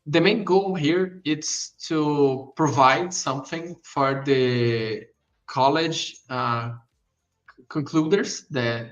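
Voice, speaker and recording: A young man in his twenties with a Portuguese accent, a non-native English speaker pitching his college project in a halting way, full of fillers and restarts. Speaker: presenter at demo day. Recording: dull lo-fi sound.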